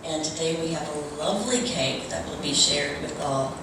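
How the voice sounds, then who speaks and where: A woman's voice: low-pitched, normal speed. A woman speaking to a congregation at a church service, giving announcements and readings. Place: large reverberant room at a church service. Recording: very echoey, noisy room, distant mic.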